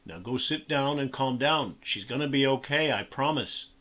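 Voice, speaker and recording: Man doing a firm calm dad voice, steady, low-key commanding. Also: moderate pace, lightly acted. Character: calm, commanding ranch hand father.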